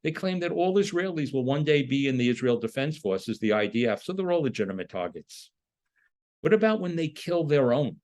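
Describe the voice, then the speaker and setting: A man's voice: medium pitch, big pitch swings, a little fast, a little mellow. A man lecturing in an explanatory, emphatic manner, posing rhetorical questions as he goes. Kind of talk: lecture on a political topic.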